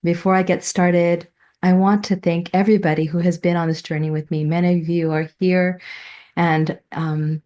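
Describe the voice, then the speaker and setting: A middle-aged woman giving a prepared personal presentation, telling her story in a reverent, deliberate way. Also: on the low side, little pitch movement, warm tone. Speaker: student presenting a thesis.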